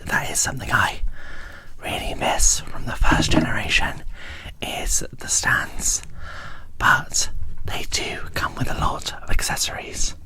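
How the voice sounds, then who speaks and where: Slow, whispering woman. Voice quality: breathy, unvoiced whisper. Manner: hushed, unhurried, describing small details. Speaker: host of a doll unboxing video. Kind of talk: ASMR unboxing commentary.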